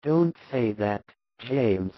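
Man doing a hushed, timid peasant wife. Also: on the high side, unhurried, no echo, muffled recording, voice pushed up, natural voice. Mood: fearful, resigned. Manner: soft, hushed, fearful pleading. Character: timid, sorrowful, God-fearing older peasant wife.

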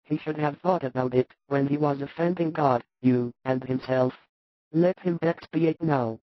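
A man voicing an anxious, devoted wife who pleads softly for her sick husband in a dramatised scripture story. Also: on the high side, a little slow, dry recording, dull audio, close to an everyday voice. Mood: anxious, pleading. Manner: soft, imploring.

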